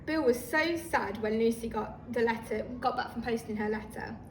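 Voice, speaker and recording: A woman reading a children's story aloud like a teacher, expressive and talking listeners through the pictures. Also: on the high side, moderate pace. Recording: roomy, distant microphone, faint noise.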